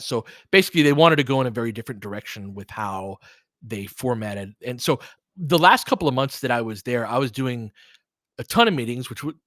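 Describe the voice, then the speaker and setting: A man's voice: mid-range voice, fairly bright tone, no echo, clean studio sound. A man hosting casual game commentary, chatting candidly and rambling through stories and asides. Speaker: host doing audio play-by-play.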